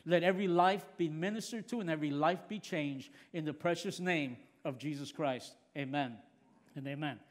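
An earnest middle-aged male preacher of Puerto Rican heritage, excitable and quick to restart his sentences. His voice sits high for a man. Long reverb from the large church hall trails behind him. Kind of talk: sermon.

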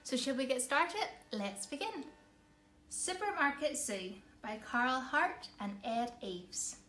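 A woman in her thirties reading a picture book aloud to children, expressive and emphatic, recorded in a living room. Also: sits high, slow pace. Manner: theatrical, emphatic. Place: small living room, indoors. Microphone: camera or phone a short distance away. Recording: echoey room, faint background noise.

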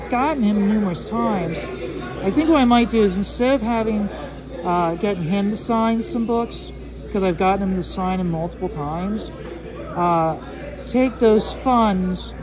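Man, high voice, rambling casual artist chat, halting and repetitive, music behind. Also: low-quality recording. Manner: hesitant, halting, repeats phrases. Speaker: artist hosting a drawing livestream or video. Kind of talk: casual rambling talk while drawing.

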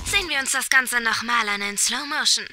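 A woman's voice: on the high side, a little fast, over music, lightly acted. A woman playing a formal television newsreader in a scripted comedy report. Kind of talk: scripted parody news report.

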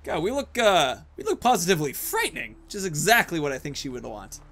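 A man's voice: on the high side, big pitch swings, fast talker, a bit bright, faint music behind. A young man hosting casual gameplay commentary in a chatty, jokey, rambling way. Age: young adult. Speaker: gaming video host. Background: game ambience, faint noise.

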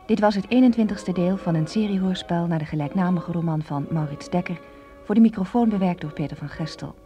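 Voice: mid-range voice, a little fast, mellow, faint music behind. A radio announcer reading scripted closing credits for a serial drama.

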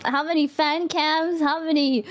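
A woman streamer in casual group chat, playful and teasing, often laughing and shouting. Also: high voice, steady tone, measured pace.

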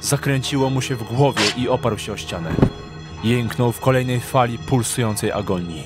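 A man's voice: medium pitch, moderate pace. A man narrating a dramatic audio drama from a script. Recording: clear music behind the narration.

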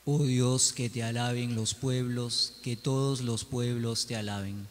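A man's voice: mid-range voice, fairly even intonation, unhurried, a little hiss or hum. A man leading sung responses in church as cantor, singing along with a guitar. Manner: leads the congregation in song.

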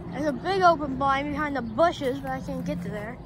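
Woman filming on her phone outside, kinda high voice, dry little comments, cars and street noise all around. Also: brisk. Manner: offhand, dry running commentary. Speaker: urban explorer vlogger. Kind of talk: casual walkthrough narration. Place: outdoors around an abandoned restaurant building. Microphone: handheld phone. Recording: outdoor phone audio with clear background noise.

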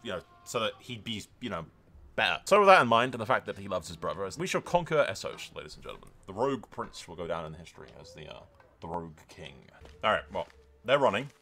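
A man's voice: fairly low pitch, big pitch swings, quick pace, vocal fry, sharp tone. A man giving casual, sweary let's play commentary, telling the story in mock-grand announcer phrases and repeating himself for emphasis. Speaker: gaming video creator.

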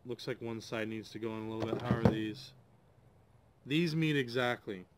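A man's voice: mid-range voice, steady tone, normal speed, very noisy. A man in his forties reviewing tools at an outdoor table, thinking aloud with plenty of hesitations. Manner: rambling, hesitant, thinking aloud with many ums. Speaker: DIY channel host. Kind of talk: casual tool review.

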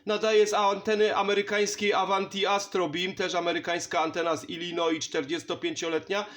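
A man's voice: high-pitched, flat delivery, a little fast, fairly bright tone. A man chatting casually and in a friendly way as a CB radio operator. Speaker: CB radio operator and channel host. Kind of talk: casual CB radio contact chat. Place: indoor home radio station.

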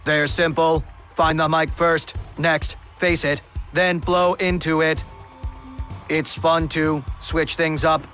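An actor playing a stiff, literal, formal robot in a video game cutscene. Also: male voice, fairly high pitch, soft background music. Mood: matter-of-fact. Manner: monotone, deliberate, formal.

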